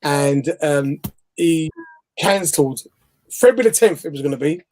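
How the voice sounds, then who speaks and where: A man's voice: fairly high pitch, expressive, unhurried, a little mellow, low-quality recording. A man with a Bajan accent telling a story in a rambling, laughing way over a video call. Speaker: guest interviewer. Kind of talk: casual live chat. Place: home, remote video call.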